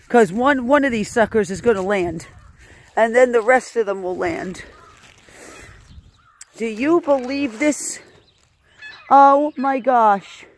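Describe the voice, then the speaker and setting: A woman filming a casual outdoor vlog, exclaiming in amazement and repeating her phrases as she narrates to viewers. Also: on the high side. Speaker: animal sanctuary host filming a vlog. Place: outdoors at a rural animal sanctuary.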